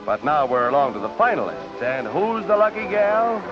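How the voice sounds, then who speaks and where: Animated, jaunty American newsreel man. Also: a little high, moderate pace. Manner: jaunty, folksy, playful. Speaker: newsreel narrator. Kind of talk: light 1940s newsreel feature commentary. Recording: dull 1940s newsreel soundtrack. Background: music.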